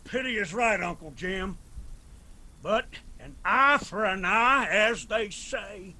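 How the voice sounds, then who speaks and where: An actor playing a commanding, vengeful mountain patriarch in a radio drama, drawling with a Southern Appalachian accent. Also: male voice, high voice, animated, takes it slowly, pushed and bright, heavily acted. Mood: coldly mocking.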